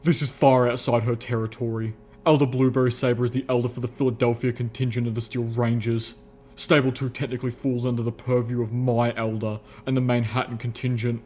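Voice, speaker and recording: Man as a rumbling, stern ghoul soldier. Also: little pitch movement, darkish tone, a little hiss or hum, close to an everyday voice. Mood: troubled, grave. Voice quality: low rumbling growl. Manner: formal, grave, deliberate. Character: stern armored ghoul soldier, male, old, rigidly dutiful.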